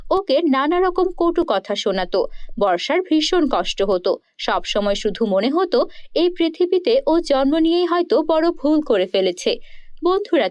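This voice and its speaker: A woman's voice: high voice, no room sound. A woman storyteller reading scripted narration for a children's story.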